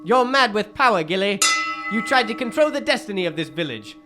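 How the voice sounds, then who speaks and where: A man's voice: high-pitched, very animated, brisk. A man playing a stern, shrewd detective in old-time radio drama style, grave and theatrical. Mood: stern, accusing.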